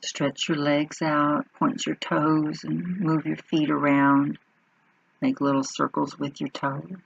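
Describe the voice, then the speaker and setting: A woman leading an unscripted guided meditation in an unhurried manner, pausing between short phrases. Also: low voice, little pitch movement, creaky, husky, lo-fi sound.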